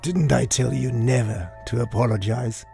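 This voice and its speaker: A man's voice: medium pitch, normal speed, gravelly, warm tone, natural voice. A man voicing a sadistic, theatrical supervillain clown in a motion comic, drawling and mocking with menace and sudden outbursts. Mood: sinister, teasing. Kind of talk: dramatic motion comic voice acting. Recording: music behind the voice. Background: music.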